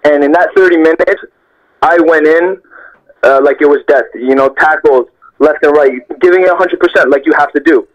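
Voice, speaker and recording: A man's voice: on the high side, normal speed. Earnest young man on a muffled phone line. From Canada. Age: young adult. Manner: earnest, repeats phrases for emphasis. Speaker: interview guest, professional footballer. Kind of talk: phone interview, reflective storytelling.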